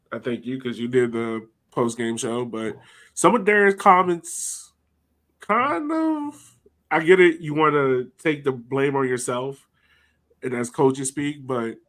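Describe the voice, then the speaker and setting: A man's voice: medium pitch, fairly bright tone. A man hosting a casual sports podcast, giving his opinions in a conversational way. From United States. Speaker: podcast host.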